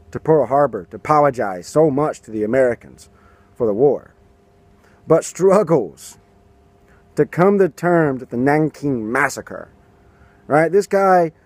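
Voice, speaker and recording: A man's voice: a little high, animated, unhurried, pauses often, darkish tone, no room sound. A man in his thirties giving sarcastic political commentary to a handheld camera outdoors. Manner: sarcastic, mocking, puts on silly voices for quotes. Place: outdoors by a garden and building. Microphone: camera at arm's length.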